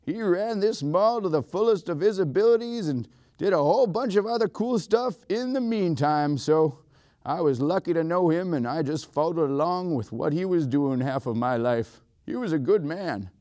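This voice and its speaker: A man doing rambling old cowboy wrangler, boastful, folksy, high-pitched, dry close sound. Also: lively intonation, moderate pace, a little mellow, no echo, lightly acted. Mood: fond. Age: older.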